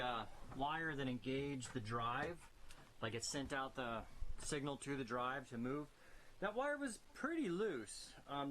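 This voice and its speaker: A man's voice: medium pitch, a bit bright. A man hosting a sailing vlog, talking casually and plainly in an informal way. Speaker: host of a sailing travel series. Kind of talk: casual vlog talk and narration. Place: on a sailboat. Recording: noisy on-location boat recording.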